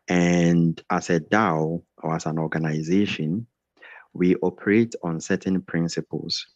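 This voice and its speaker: A man's voice: sits low, no echo. A man hosting an onboarding session on a video call, earnest and repetitive, often restarting his phrases. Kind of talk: welcoming group presentation on video call. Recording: dull, dry video call audio.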